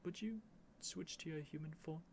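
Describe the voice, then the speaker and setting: A man's voice: on the high side, normal speed, gravelly, a little mellow, a little hiss or hum. A man playing a stubborn, flustered young prince with haughty, theatrical phrasing in an ASMR roleplay. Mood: shy, flustered.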